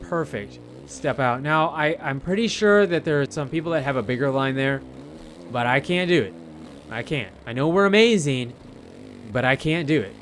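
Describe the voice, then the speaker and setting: A man's voice: fairly high pitch, lively intonation, normal speed, fairly bright tone, a little hiss or hum. A man giving casual, rambling gameplay commentary as a video creator, often repeating phrases for emphasis. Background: racing game vehicle engine sounds.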